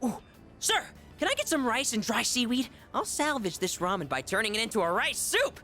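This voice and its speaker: A woman's voice: fairly high pitch, sing-song, brisk, sharp tone, faint music behind. A woman playing a boastful, eccentric young glutton in a video game. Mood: jovial, grandiose.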